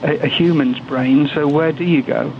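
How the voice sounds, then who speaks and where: An older man with a croaky voice calling in to a radio show, earnest and a bit hesitant. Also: a little high, fairly even intonation, normal speed, noisy background. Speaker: caller. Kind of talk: radio phone-in. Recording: tinny phone line.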